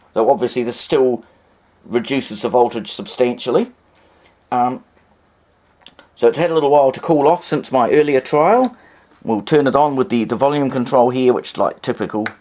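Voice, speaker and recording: A man talks through a hands-on demonstration in a casual way. He rambles and often hesitates. His voice sits at a medium pitch. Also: a little mellow. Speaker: vintage radio hobbyist presenter.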